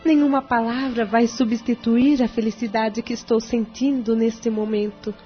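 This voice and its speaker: An actress playing a loving, grateful wife, tender and heartfelt, in an audio drama. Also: female voice, a little high, fairly even intonation, moderate pace, natural voice. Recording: dull lo-fi audio, music underneath.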